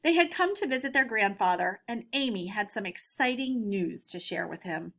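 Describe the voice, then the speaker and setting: A woman's voice: medium pitch, animated, moderate pace, fairly bright tone. An American woman teacher giving an instructional read-aloud for children, putting simple questions to her pupils. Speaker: elementary school teacher.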